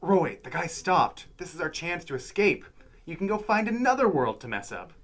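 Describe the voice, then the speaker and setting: A man's voice: on the high side, very animated, moderate pace, fairly bright tone, full character voice. A man playing an exasperated, sensible sidekick in a comic sci-fi radio play, nagging and cautioning.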